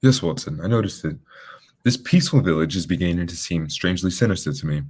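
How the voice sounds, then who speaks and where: Radio mystery lead: composed, matter-of-fact detective, played by a man, deep voice, fast clipped delivery. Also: lively intonation, darkish tone. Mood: composed, purposeful. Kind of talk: radio drama acting.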